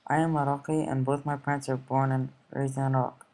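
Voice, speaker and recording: A teenage boy from the United States reading his school essay aloud in a steady, plain way. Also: medium pitch, brisk, poor audio. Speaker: student.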